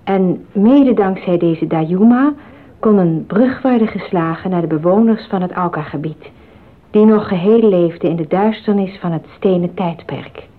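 A woman's voice: lowish voice, moderate pace. A woman narrating a scripted documentary drama in a solemn style. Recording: narrow phone-like old radio sound.

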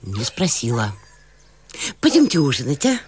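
A homely, chatty country housewife in a radio drama. Her speech is simple and gossipy and jumps around in pitch, with clear noise and clicks behind her. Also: wildly swooping pitch, normal speed, full character voice. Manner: folksy, gossipy. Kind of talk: Russian radio play acting.

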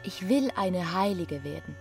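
An actress playing a disenchanted young wife given to pious fervor in a literary radio drama, solemn and intense. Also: female voice, lowish voice, animated, a little slow, gravelly, faint music behind.

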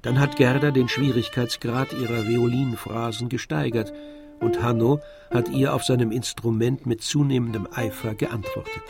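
A man's voice: medium pitch, steady tone, moderate pace, gravelly. Male radio drama narrator over music. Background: violin and other music.

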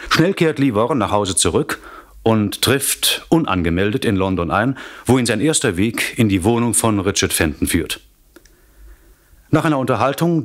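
A man narrating a radio crime play as a scripted recap, wry and deliberate, with dramatic pauses and emphasis. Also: on the low side.

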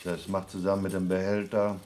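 An actor playing a gruff, sarcastic male astronaut on the moon who swears, in a German radio play. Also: low-pitched, completely flat delivery, fairly quick, a little mellow, slight background noise, close to an everyday voice. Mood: weary.

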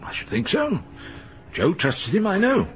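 A gruff retired army major, terse and clipped in his answers, with a voice that swings lively up and down. He comes from an old radio play. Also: sits high, unhurried, slight background noise, exaggerated voice. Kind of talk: radio drama acting.

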